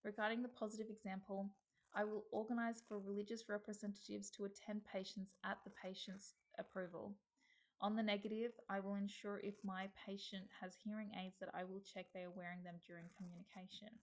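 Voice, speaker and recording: Flat-voiced Australian woman reading aloud. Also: mid-range voice, a little fast, low-quality recording. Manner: reads evenly from a script. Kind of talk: read-aloud reflection.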